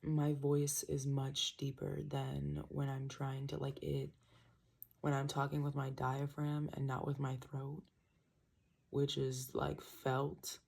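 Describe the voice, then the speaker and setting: A woman's voice: very deep voice, completely flat delivery, slow pace, gravelly, darkish tone, slightly rough. A young woman doing a casual tarot reading from home in the early morning, rambling and trailing off, with birds chirping outside. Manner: rambling, trailing off, self-interrupting. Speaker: tarot reader. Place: small home room at night, birds outside.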